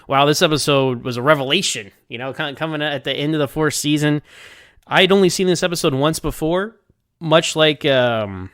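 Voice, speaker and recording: A man's voice: fairly high pitch, brisk, fairly bright tone, smooth, dry recording, studio quality. A man talking casually in a rambling, think-aloud way as he gives his review of TV episodes. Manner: conversational, hedging, thinking aloud.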